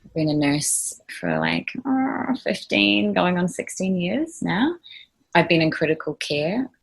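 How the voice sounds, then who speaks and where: Nz woman in her 30s, low breathy voice, chatty nurse in an interview. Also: wildly swooping pitch, a little slow, low-quality recording. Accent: New Zealand. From New Zealand. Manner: earnest, thoughtful, with frequent fillers and restarts. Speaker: ICU nurse guest. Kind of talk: conversational interview answers.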